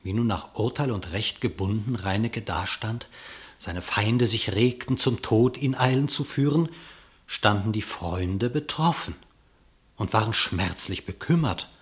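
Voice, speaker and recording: A man narrating a literary radio play in verse, with measured, dramatic storytelling. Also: fairly low pitch.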